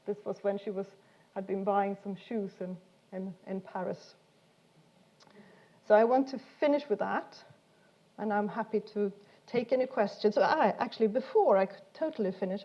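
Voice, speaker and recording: A woman's voice: medium pitch, muffled recording. A woman giving an informal science lecture in an explanatory, hesitant way, often repeating words as she goes. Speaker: speaker giving a talk.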